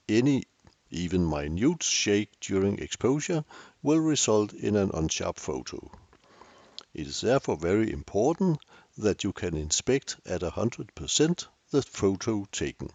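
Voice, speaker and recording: A man's voice: on the low side, very animated, measured pace, a little mellow, dry recording, muffled recording. An older Danish man with a Danish accent, hosting a camera tutorial with dry, self-deprecating humor. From Denmark. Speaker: tutorial host.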